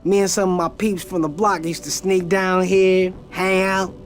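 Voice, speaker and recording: An actor playing a cocky young streetwise rebel kid in a video game, slangy and eager. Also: male voice, high-pitched, little pitch movement, moderate pace, soft background music, natural voice. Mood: relaxed, friendly. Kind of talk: game cutscene dialogue.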